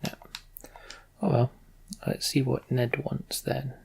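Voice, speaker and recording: A man's voice: medium pitch, little pitch movement, slow, creaky, dark tone, slightly rough. A man giving casual game commentary and reading story text aloud as a let's play host.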